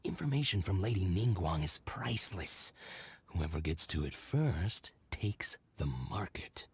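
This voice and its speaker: An actor playing a shrewd, hushed merchant with a husky, breathy voice in a video game. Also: male voice, low voice, lively intonation, measured pace, no room sound, full character voice. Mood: scheming.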